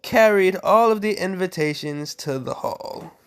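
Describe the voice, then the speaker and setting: A woman reading a bedtime story aloud in an animated style, with casual, playful and mocking asides. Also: lowish voice, a little slow, clean tone.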